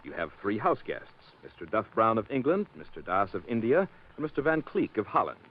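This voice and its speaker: An actor playing a calm, sharp-witted detective in an old radio drama, with a measured, cutting delivery. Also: male voice, on the low side. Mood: cool. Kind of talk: 1940s radio acting. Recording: tinny old radio broadcast.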